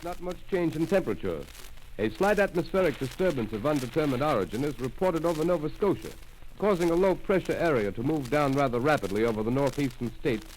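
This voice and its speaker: A man reading a weather report and station links in a 1930s radio drama, flatly and routinely. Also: medium pitch, a little hiss or hum, lightly acted, poor audio. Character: plain male weather-report announcer. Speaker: radio announcer.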